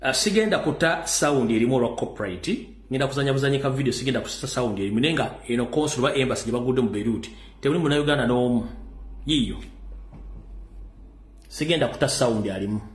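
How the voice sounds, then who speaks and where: A man's voice: mid-range voice, fast. Emphatic Ugandan man in an echoey room. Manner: emphatic, repeating points. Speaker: online commentator. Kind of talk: live stream commentary.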